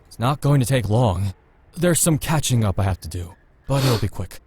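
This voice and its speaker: Self-assured, protective young man, even pitch. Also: moderate pace, breathy edge. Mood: impatient, reassuring. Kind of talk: visual novel voice acting.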